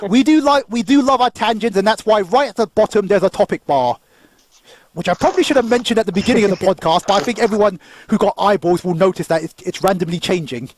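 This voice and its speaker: A man's voice: high voice, fast, no echo. A man, hosting a casual panel chat that rambles into tangents, with frequent laughter. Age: 30s. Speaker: podcast host.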